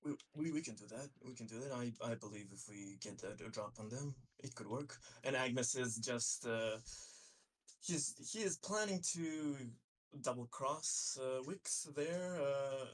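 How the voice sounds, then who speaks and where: A man playing a tabletop roleplaying game, talking casually and haltingly, repeating words as he explains. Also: medium pitch, rough recording. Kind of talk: casual explanation of a character's plan.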